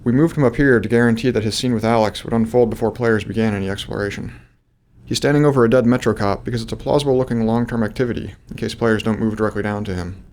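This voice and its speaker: Fast talking game dev guy, lowish voice, reading commentary over faint ambience. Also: darkish tone, a little hiss or hum. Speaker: game developer. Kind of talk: scripted developer commentary.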